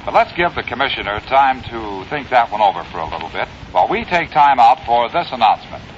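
A man's voice: medium pitch, big pitch swings, fast talker. An American man announcing a 1950s radio show from a script. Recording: dull old radio recording, faint noise.

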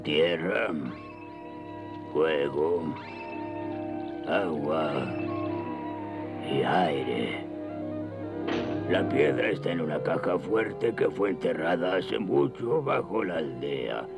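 A man playing a very old, kindly, weary gnome grandfather in a cartoon, gravelly and fretful. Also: very deep voice, animated, extremely slow, pauses often, over loud music, exaggerated voice. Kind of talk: cartoon character acting.

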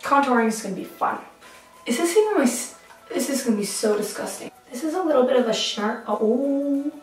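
A young woman with a fairly high voice chats casually and jokes at her own expense while doing her makeup. She is recorded from a little way off in a small tiled bathroom that rings with echo. Soft music plays faintly behind her. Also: animated, brisk, distant mic. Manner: playful, self-mocking, laughs often. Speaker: beauty vlogger. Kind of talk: casual makeup vlog chat. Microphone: camera mic at arm's length. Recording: echoey bathroom, light background music.

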